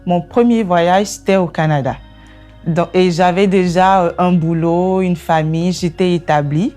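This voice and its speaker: A woman's voice: fairly low pitch, steady tone, a little slow, dark tone, soft background music. A woman from Benin with a Beninese accent, giving personal testimony in an interview. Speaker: documentary interviewee, business analyst.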